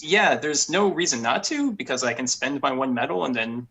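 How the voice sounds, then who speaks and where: A man's voice: medium pitch, animated, normal speed, pushed and bright. A man playing a tabletop game, chatting casually as a player at the table.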